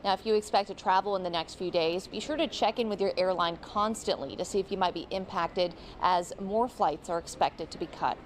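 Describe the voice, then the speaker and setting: A woman's voice: fairly low pitch, a little fast, hardly any pauses, slight background noise. An American woman working as a field reporter, delivering a live TV news report. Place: live at an airport. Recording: faint background ambience on location.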